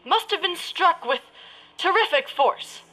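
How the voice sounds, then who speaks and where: Woman playing a bright, high-voiced flustered radio reporter. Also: animated, exaggerated voice, rough recording. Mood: awed. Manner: halting, exasperated live commentary. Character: flustered, crude male radio news reporter at crash site. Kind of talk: parody of 1930s radio drama.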